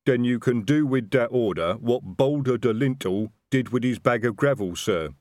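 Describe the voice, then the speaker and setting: Male narrator as a hulking troll sergeant, slow-witted diction, stubborn, dutiful, storybook character, dry sound. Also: medium pitch, a little mellow, no echo, lightly acted. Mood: stubborn, blunt. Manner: blunt, thick uneducated diction, dropped th sounds.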